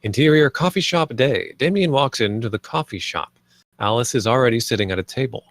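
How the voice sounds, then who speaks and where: A man's voice: medium pitch, animated, brisk, fairly bright tone, no echo. A man reading stage directions as the narrator of a film script read. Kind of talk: scripted narration, present tense.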